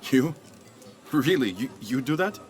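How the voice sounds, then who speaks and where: A man's voice: mid-range voice, lively intonation, unhurried, soft background music, natural voice. An actor playing an earnest, hesitant working-class father in a video game, wary and protective. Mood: worried. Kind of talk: video game cutscene acting.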